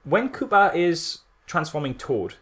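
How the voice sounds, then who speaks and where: Male podcast co-host, high voice, swooping incredulous delivery, rambling casual film critique. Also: sing-song, unhurried, fairly bright tone.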